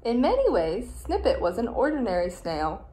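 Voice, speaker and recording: A young adult woman, a teacher, reading a picture book aloud in a deliberate, expressive way for small children. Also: a little high, poor audio. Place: small room indoors.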